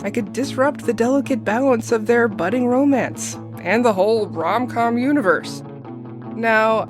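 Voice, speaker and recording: An actress playing a meddling, dramatic sister who narrates a romcom audio drama in a wry, confiding, theatrical way. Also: female voice, a little high. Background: guitar music.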